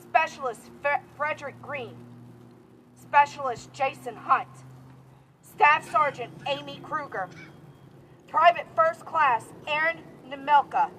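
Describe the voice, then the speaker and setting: A woman at an outdoor rally reading victims' names and ranks in a slow, steady, formal way. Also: sits high, slight background noise, low-quality recording. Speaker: rally speaker.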